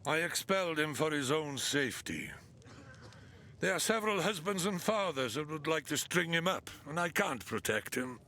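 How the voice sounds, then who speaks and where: An actor playing a stern, weary military commander in a fantasy video game, curt, authoritative and sardonic. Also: male voice, on the high side, lively intonation, moderate pace, sharp tone. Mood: stern, businesslike.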